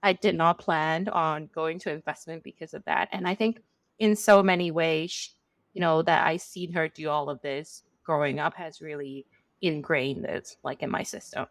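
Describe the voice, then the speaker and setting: A woman's voice: sits low, fairly even intonation, normal speed, no room sound. A middle-aged woman from Hong Kong who is a venture capital partner, answering interview questions in a chatty way with many "you know"s.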